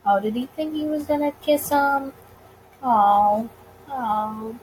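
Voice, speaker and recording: A woman with a high voice reads a visual novel aloud as a let's player. Her delivery is expressive, with dramatic pauses, over clear recording noise. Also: rough recording. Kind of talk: expressive story reading with reactions.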